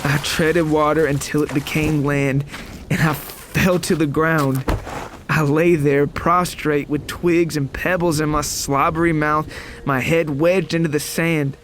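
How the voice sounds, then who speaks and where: A man's voice: a little high, flat delivery, a little slow, fairly bright tone, slight background noise. A man voicing a traumatized survivor of a monster attack, narrating his horror story in a grave, literary, dramatic way. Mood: frantic, tense. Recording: music behind the voice.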